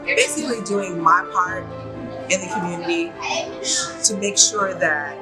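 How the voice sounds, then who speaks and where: A woman who works in the nonprofit sector, giving a heartfelt, measured personal interview answer. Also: medium pitch, sing-song, over loud music. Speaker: nonprofit advocate.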